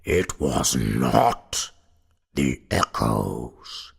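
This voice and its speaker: A man voicing a stern, sneering, malicious goblin in a storybook reading, with a deep, forceful voice and a slow, taunting way of drawing out words. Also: very animated, vocal fry, breathy edge, heavily acted.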